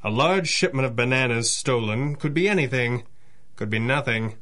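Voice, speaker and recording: Actor as a cool, mid-pitched masked hero. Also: male voice, expressive, a bit bright, close to an everyday voice. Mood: cool, analytical. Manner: measured, deliberate, wry. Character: cool, confident male masked crime-fighting hero. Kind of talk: 1930s-style radio adventure acting.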